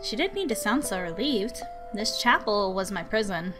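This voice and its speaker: A woman reading a visual novel aloud as expressive first-person story narration. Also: mid-range voice, normal speed. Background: music.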